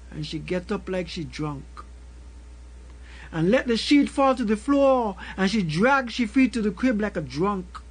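An older man with a Caribbean accent recites a spoken word poem in a slow, rhythmic way, returning again and again to a refrain, at a desk mic in a small room. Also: high voice, low-quality recording. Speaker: poet. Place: small room with a colorful backdrop.

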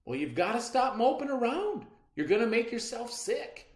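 A man's voice: very high voice, sing-song, moderate pace, voice pushed up. A man voicing a practical, concerned farm mother in a storybook, firm and coaxing. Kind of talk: storybook character reading. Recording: roomy echo.

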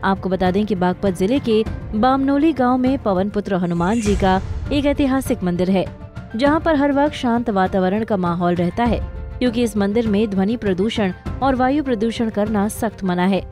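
A woman's voice: mid-range voice, normal speed, darkish tone. A woman reading a scripted news report as the narrator. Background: music.

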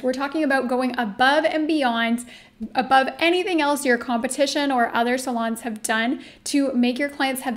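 A woman in her thirties presenting an upbeat instructional video as a coach, speaking directly and emphatically with an encouraging manner. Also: sits high. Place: small indoor room.

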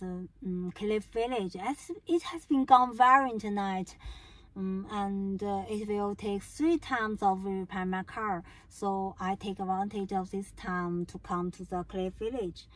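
A young woman with a Chinese accent, a native Chinese speaker, narrating a travel vlog from inside her car in a soft, halting way with many fillers. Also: mid-range voice, no echo, far from the mic, poor audio. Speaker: travel vlogger.

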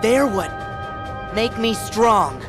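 A youthful, defiant boy hero in a video game. He speaks slowly and sincerely, his pitch leaping with feeling, while music plays clearly behind him. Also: very animated, exaggerated voice. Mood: indignant. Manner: impassioned, sincere. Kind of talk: video game cutscene acting.

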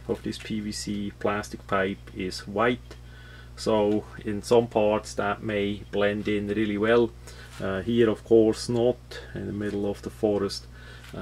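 Slow, monotone Swiss-accented man. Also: fairly low pitch. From Switzerland. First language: not English. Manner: halting, rambling, matter-of-fact. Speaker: product reviewer. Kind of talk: unscripted product explanation. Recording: clear background noise with hum.

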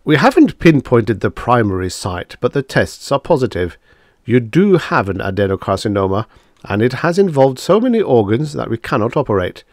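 A man voicing a careful, serious doctor in an audiobook, speaking in a slow, measured manner. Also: mid-range voice, very animated, a little mellow, dry recording, clean studio sound, pitched above the performer's own voice. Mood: grave, careful. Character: grave, careful doctor delivering hard news. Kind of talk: audiobook character dialogue.

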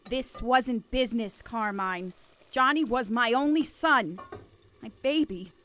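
A woman's voice: fairly high pitch, slow, fairly bright tone. A woman playing a bitter, grieving mother from a crime family in a noir radio drama, emphatic and accusing.

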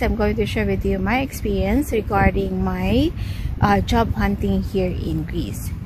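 A woman in her thirties chatting casually to her phone camera in a park, saying guys often, with plain non-native phrasing. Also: medium pitch. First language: Filipino. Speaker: travel vlogger. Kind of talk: casual vlog chat to camera. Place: outdoors in a city park. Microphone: phone camera at arm's length, no headset. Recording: outdoor phone audio with steady background noise.

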